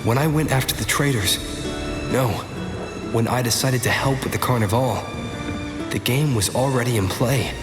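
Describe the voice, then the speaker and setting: A man's voice: mid-range voice, normal speed, pauses often. A man voicing a thoughtful, cautious young adventurer in a video game, musing to himself in a reflective, understated way with reverb. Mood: pensive. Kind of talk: scripted video game inner monologue. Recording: echoey voice with background music.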